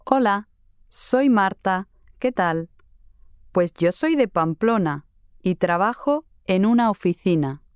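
A friendly young office worker. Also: female voice, mid-range voice, expressive, takes it slowly, dry recording, studio quality. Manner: clear, careful friendly delivery. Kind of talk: slow scripted dialogue for learners.

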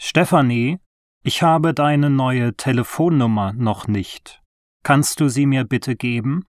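Slow, careful male exam reader. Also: mid-range voice, no room sound, clean studio sound. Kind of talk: scripted language-exam dialogue.

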